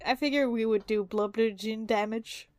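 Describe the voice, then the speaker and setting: A woman's voice: on the high side, moderate pace, darkish tone, no room sound. A woman playing a tabletop game, casually describing her moves.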